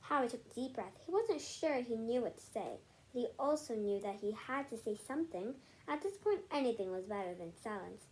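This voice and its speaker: A woman's voice: fairly high pitch, animated, rough recording. A female voice reading a picture book aloud, stumbling over and repeating words, with casual asides. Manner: halting, stumbles and rereads words. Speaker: read-aloud storyteller. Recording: home recording with background noise.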